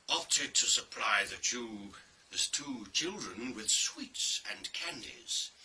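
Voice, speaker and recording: A man reading a scripted dramatic story in a deliberate, theatrical way, with pauses and pointed emphasis. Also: mid-range voice, pushed and bright. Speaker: reader of a dramatic monologue. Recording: distant microphone, faint background noise.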